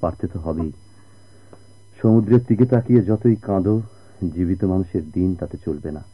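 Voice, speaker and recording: Radio drama: devoted, gentle family friend, low and husky, Bangladeshi accent, measured formal delivery. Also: male voice. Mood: grave, urging. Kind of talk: Bengali radio drama acting. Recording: muffled old radio drama recording.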